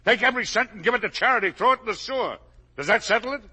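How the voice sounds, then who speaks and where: An actor playing an older, guilt-ridden, blustering father in 1950s radio drama, with a gravelly, raspy voice. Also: high voice, animated, racing, heavily acted. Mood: furious, defiant. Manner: blustering, emphatic, swinging from muttered to shouted.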